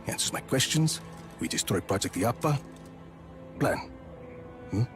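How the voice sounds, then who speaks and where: Rugged game action hero, low voice, dryly confident, laconic, clipped, music behind. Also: male voice, expressive, measured pace. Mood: cocky, determined. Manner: laconic, wry, clipped phrases. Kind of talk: video game cutscene acting.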